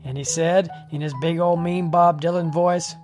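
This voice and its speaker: Folksy male radio storyteller over soft music. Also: fairly high pitch, flat delivery, normal speed. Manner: mock-solemn, folksy phrasing. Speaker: radio show host.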